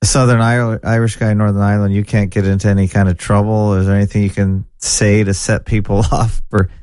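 A man's voice: fairly low pitch, little pitch movement, quick pace, a little mellow, clear, resonant tone, no room sound, studio quality. A man hosting an interview, asking curious follow-up questions in a casual way. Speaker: interviewer, host.

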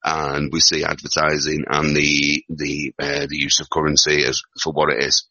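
Very deep-voiced Northern English man. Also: completely flat delivery, moderate pace, bright, forceful tone, no echo. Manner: rambling, repeats words as he thinks aloud. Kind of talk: casual discussion. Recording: muffled lo-fi recording.

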